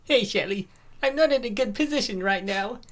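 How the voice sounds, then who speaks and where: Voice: medium pitch, expressive, a little fast, a bit bright, voice pushed up, natural voice. A performer voicing a young woman, a comic heroine's frank best friend, subdued and plain-spoken. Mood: downcast, frank. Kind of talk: amateur comic dub voice acting.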